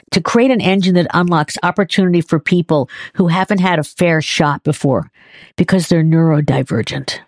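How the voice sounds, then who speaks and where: A woman's voice: lowish voice, dry recording, studio quality. A woman hosting a podcast, presenting and interviewing. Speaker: podcast host. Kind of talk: scripted podcast introduction, then warm-up interview talk.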